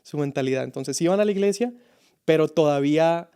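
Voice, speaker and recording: A man from Colombia, a youth pastor telling his story as a podcast guest, reflective and halting. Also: a little high, mellow, clean tone. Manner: reflective, halting with many restarts. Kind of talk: casual podcast conversation.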